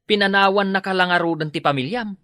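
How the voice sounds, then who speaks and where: A man's voice: sits high, brisk, bright, forceful tone, dry recording, studio quality. A man with a Filipino Ilocano accent playing a stingy, dismissive neighbour, curt and mocking, in a radio comedy drama. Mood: mocking, irritated. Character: stingy, dismissive neighbour scolding a lazy moocher.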